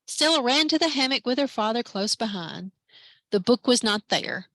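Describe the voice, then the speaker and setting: A woman librarian reading a children's picture book aloud at story time, lively and expressive. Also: a little high, normal speed, no room sound. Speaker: library branch manager, story time reader.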